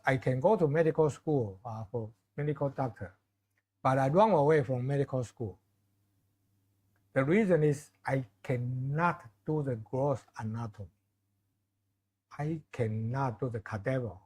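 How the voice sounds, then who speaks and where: A middle-aged man with a Chinese accent giving informal closing remarks to a class of students, often pausing and restarting his phrases with many fillers. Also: medium pitch, a little mellow. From China. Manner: halting, restarts phrases, many fillers, asks audience for questions. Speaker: visiting lecturer.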